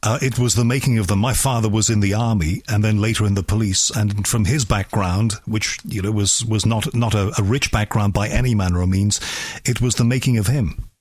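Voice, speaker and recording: A man's voice: lowish voice, steady tone, fairly quick, dry recording. A man hosting a radio talk show, questioning guests in a probing, skeptical way. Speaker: radio host. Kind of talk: radio interview.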